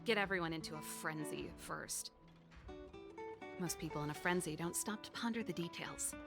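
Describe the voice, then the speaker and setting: A woman's voice: low voice, moderate pace, vocal fry. A woman playing an easygoing, self-assured mechanic and former researcher in a video game, breezy and confiding, with theatrical delivery. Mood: calm, assured. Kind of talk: scripted game dialogue. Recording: game music behind the voice.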